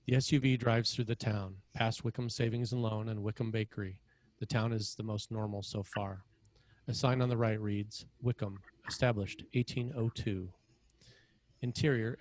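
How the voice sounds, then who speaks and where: A man's voice: on the low side, no echo. A man narrating screenplay scene headings and action in a scripted read.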